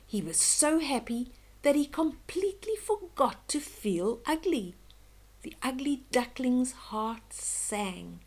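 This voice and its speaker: Slow, higher-pitched older woman storyteller. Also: sing-song. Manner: slow, gentle, dramatic, with hushed whispery moments. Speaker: storyteller reading children's books. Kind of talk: expressive bedtime story read-aloud.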